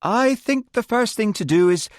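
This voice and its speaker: A man voicing a nervous, brightly cheerful male fishing instructor. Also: sits high, expressive, moderate pace, no echo, studio quality, voice pushed up.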